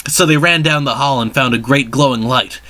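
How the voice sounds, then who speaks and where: Bright-voiced man narrating a story dramatically. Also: mid-range voice, a little fast. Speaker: reader of a fan fiction story.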